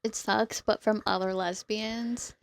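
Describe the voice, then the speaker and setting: A woman's voice: mid-range voice, steady tone, a little slow, vocal fry, no room sound. A young woman co-hosting a podcast, agreeable and slangy in casual chat. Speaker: podcast co-host.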